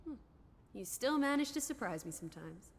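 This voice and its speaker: A woman's voice: on the high side, sing-song, fairly quick. An actress playing a cheeky, teasing young 1920s girl companion in a video game, playful and sassy with period slang. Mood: curious, playful. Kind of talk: lively video game dialogue. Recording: long echoing reverb, background noise.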